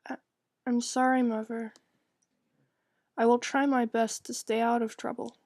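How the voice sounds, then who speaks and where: A woman voicing a meek, guilt-ridden, devout young daughter who speaks softly and submissively in a visual novel. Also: fairly high pitch, little pitch movement, unhurried, no echo, clean studio sound. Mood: meek, apologetic. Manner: soft, submissive, apologetic.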